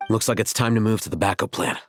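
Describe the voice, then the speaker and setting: A stern, composed commander, acted by a man from a fantasy game. His voice is low and controlled, with a matter-of-fact, clipped manner over music. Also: fast, close to an everyday voice. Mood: decisive. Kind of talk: video game cutscene voice acting.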